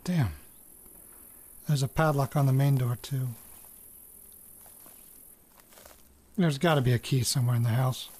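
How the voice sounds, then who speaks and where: A man's voice: mid-range voice, fairly quick, dark tone, close to an everyday voice. An actor playing a hesitant farm-raised man in an audio drama, murmuring and thinking aloud as if into a recorder. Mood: hesitant, musing.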